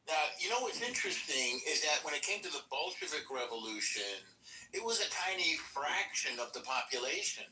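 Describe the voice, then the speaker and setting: A man hosting a casual political podcast chat. He talks in an animated, emphatic way. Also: fairly high pitch, a little hiss or hum. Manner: animated, emphatic, laughs often. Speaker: podcast host. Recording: echoey room, far from mic.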